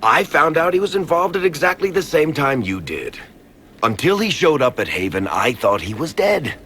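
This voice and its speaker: An actor playing a roguish, guilt-ridden fantasy adventurer in a video game, wry and emphatic. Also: male voice, a little high, big pitch swings, normal speed, bright, forceful tone, slight background noise. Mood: defensive, apologetic. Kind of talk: video game dialogue acting.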